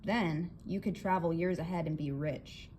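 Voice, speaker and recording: A woman voicing an eager young man who questions with excited wonder in a storybook. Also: fairly low pitch, moderate pace, lightly acted. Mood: eager, amazed. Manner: bright-eyed, excited questioning. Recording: clear background noise.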